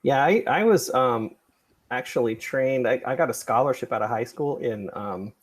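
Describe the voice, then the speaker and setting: A middle-aged man with a gravelly, husky voice hosting a casual livestream chat in a rambling, self-effacing way. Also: medium pitch, lively intonation, moderate pace. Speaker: podcast creator and livestream host.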